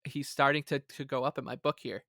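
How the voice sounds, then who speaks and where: A man's voice: medium pitch, fairly even intonation, a little fast, gravelly, fairly bright tone, no room sound, studio quality. A man co-hosting a podcast in casual sports talk, speaking in an exasperated, emphatic, sarcastic way. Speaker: podcast co-host.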